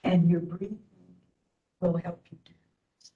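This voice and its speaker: A woman leading a guided meditation as instructor, speaking gently in short phrases with long pauses. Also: on the low side, fairly even intonation, phone-quality sound.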